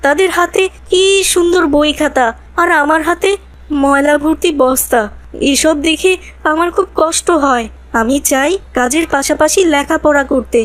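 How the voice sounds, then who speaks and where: A high, childlike cartoon voice for a poor street boy of twelve , acted by a woman. He is humble and earnest, with a plaintive way of talking. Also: normal speed, dry recording, lightly acted. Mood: earnest, pleading. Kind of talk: cartoon voice acting.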